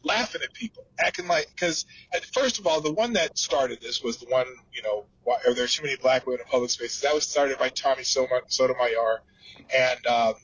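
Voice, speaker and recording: A man's voice: mid-range voice, a little fast, fairly bright tone, slightly rough, no echo. A man speaking casually in an audio chat room, recorded in his car through wireless earphones, with a tinny sound. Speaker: podcast host heard in a recorded audio chat. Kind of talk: casual commentary.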